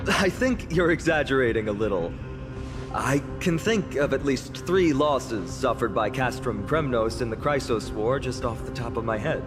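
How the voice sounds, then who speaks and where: A man's voice: medium pitch, animated, normal speed, lightly acted. An actor playing a cheerful, playful young swordsman in a fantasy game, teasing and theatrical in delivery. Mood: wry, teasing. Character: cheerful, playful young heroic male swordsman eager for challenge. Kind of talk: scripted fantasy game voice acting. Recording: loud game music underneath.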